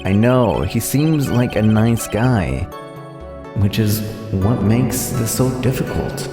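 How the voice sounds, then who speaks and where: A man's voice: lowish voice, animated, slow, reverberant, big-room sound, music in the background. A man voicing an earnest young defense lawyer in a video game, musing in a dry, matter-of-fact way. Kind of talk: reading game dialogue in character.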